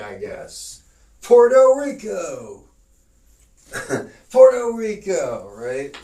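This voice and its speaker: A man's voice: mid-range voice, a little mellow, recorded from a distance. A man teaching a live-streamed geography class, rambling with lots of fillers, restarts and joking asides.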